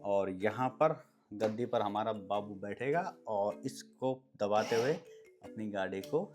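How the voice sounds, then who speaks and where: A man's voice: fairly low pitch, measured pace. Man explaining, music behind him. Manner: explanatory, step-by-step, addressing viewers as friends. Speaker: product reviewer and video host. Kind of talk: casual product review demonstration.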